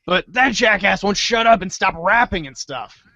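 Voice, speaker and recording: A man acting an earnest, excitable, rambling teenage boy hero in a storybook-style reader's theater. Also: sits high, moderate pace, creaky, dry recording, studio quality, heavily acted. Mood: flustered, earnest.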